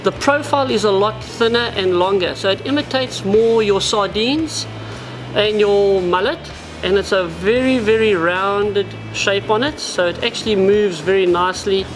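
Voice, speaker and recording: A man's voice: high voice, normal speed, over music, poor audio. A South African man in his forties explaining fishing gear at a tackle shop counter, in a practical, explanatory way. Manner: practical, explanatory, addresses viewers as guys. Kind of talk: product demonstration. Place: indoor tackle shop, seated at a wooden counter.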